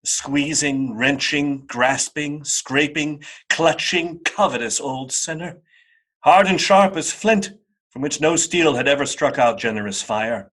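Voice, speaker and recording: A man narrating a radio play as a theatrical storyteller, wry and emphatic, with a husky voice. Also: fairly high pitch, slow, fairly bright tone. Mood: scornful relish.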